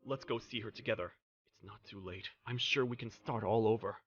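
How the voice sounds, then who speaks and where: A man's voice: lowish voice, fairly quick, husky, highs cut off. An actor playing a devoted, sincere middle-aged single father in a manga dub, narrating and speaking in character. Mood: remorseful, tender. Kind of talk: scripted anime-style dub narration and dialogue. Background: music.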